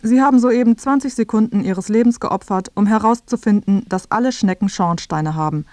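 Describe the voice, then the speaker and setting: A woman's voice: medium pitch, a little fast, noisy background. A woman narrating a scripted radio feature, addressing the listener directly in a wry way.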